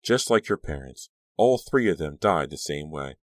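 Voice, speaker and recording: A man voicing a sinister small woman in a storybook who poses as a gentle aunt, firm, blunt and coaxing. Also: a bass voice, expressive, normal speed, no room sound, clean studio sound. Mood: bluntly triumphant.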